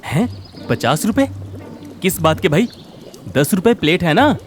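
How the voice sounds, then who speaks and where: Kind working-class villager, male actor: exclamatory cartoon read, swinging pitch, music clearly behind. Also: a little slow. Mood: indignant. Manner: expressive, exclamatory. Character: kind, hardworking adult village labourer, devoted husband. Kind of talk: cartoon moral-story voice acting.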